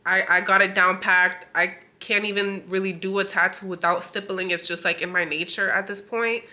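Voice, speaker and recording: A woman tattoo artist talks casually to her viewers, her voice stuffy and nasal from a cold. Her tone is very bright, and she fills her talk with "like.". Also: mid-range voice, fairly even intonation, normal speed. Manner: chatty, full of "like" fillers. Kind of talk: casual vlog chat.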